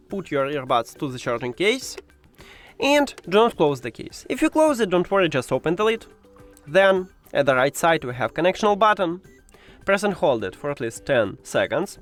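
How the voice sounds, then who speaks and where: A man's voice: on the high side, very animated, normal speed, fairly bright tone, faint music behind. A man who is a non-native English speaker, presenting a step-by-step tech tutorial and addressing the viewer directly with instructions. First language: not English.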